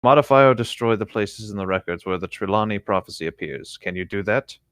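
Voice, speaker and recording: A man's voice: lowish voice, fairly quick, a bit bright, no room sound, clean studio sound. A man casually reading fiction aloud and commenting on it as a co-host. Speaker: co-host of a fanfiction reading show.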